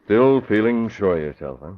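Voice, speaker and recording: An actor playing a composed, resolute crime-fighter, brisk and clipped, in 1940s radio style. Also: male voice, lowish voice, lively intonation, lightly acted. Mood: wary, probing. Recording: dull, noisy old radio recording.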